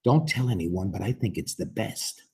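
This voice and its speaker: A man voicing a gentle, encouraging woman kindergarten teacher in a storybook, patient and instructive, with a husky voice. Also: sits low, normal speed. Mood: confiding.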